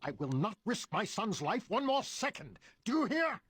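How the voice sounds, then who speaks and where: A man's voice: sits high, sing-song, normal speed, fairly bright tone, a little hiss or hum. A man playing an imperious, impatient and fiercely protective mutant father in a video game. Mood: urgent, angry. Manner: imperious, theatrical. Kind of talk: video game cutscene acting.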